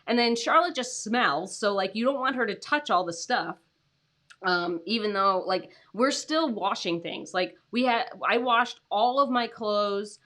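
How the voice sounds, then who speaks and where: Tired, raspy-voiced woman host. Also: mid-range voice, bright, forceful tone. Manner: chatty, lots of "like" and "um", laughs often. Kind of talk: casual livestream chat.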